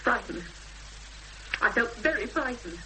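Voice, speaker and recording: A woman's voice: a little high, expressive, unhurried, bright, forceful tone. An actress playing a frightened, weary woman searching for her missing sister in a 1970s radio drama, speaking in short, intense, reflective phrases. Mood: troubled. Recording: noisy 1970s radio broadcast recording.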